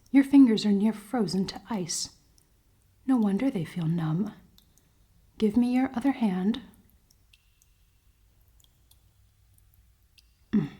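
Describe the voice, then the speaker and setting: A woman playing a cold-hearted, commanding elven queen in a fantasy ASMR roleplay, soft and often whispering, with formal, teasing speech. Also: medium pitch, breathy edge. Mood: exasperated, concerned. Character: cold-hearted, dominant elven queen, haughty, secretly caring.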